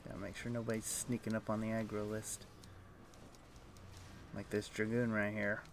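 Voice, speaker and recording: A man's voice: on the low side, monotone, normal speed, a little hiss or hum. A man casually commentating a game live for his chat, reacting in short offhand remarks. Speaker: game streamer.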